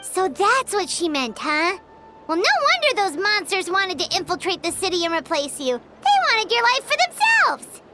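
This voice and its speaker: A woman voicing a tiny, curious childlike companion in a video game, with a squeaky, exclamatory delivery. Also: wildly swooping pitch, normal speed, full character voice. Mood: musing. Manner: inquisitive, exclamatory. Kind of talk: scripted video game dialogue. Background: prominent background music.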